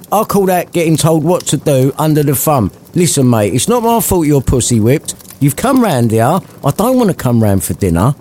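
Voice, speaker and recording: A grumpy old miser, foul-mouthed and dismissive, spitting curses, as a storyteller puts him on. His voice is mellow but swings widely in pitch as he snarls. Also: a little hiss or hum.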